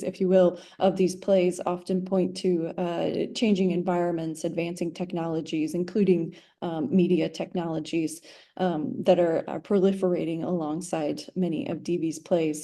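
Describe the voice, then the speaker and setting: Mellow-voiced woman giving an academic talk. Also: fairly low pitch. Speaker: academic presenter.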